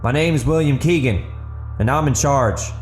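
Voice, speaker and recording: A man's voice: mid-range voice, moderate pace, pitched above the performer's own voice, natural voice. Guy acting a fierce protective husband and gang leader, raised voice, roomy echo, bit of music. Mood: placating.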